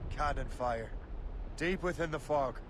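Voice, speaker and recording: Game sea captain played by a man: gruff, determined, terse, slightly high male voice, noisy shipboard backdrop. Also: a little slow, close to an everyday voice. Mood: wary. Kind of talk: video game cutscene acting. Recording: noisy game audio with ship ambience.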